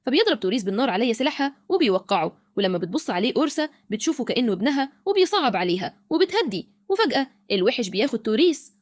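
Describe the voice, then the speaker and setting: A woman's voice: on the high side, sing-song, very fast, dry recording, studio quality. A woman with an Egyptian Arabic accent narrating a scripted recap of a film.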